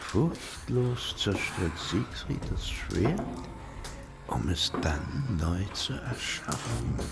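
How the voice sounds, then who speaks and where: A sly, murderous dwarf in a fantasy radio drama. He mutters conspiratorially, slowly and with a swooping, creaky delivery. Music plays clearly underneath. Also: sits low, sing-song, heavily acted. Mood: brooding. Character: sly, murderous male dwarf plotting for gold. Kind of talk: fantasy radio play acting.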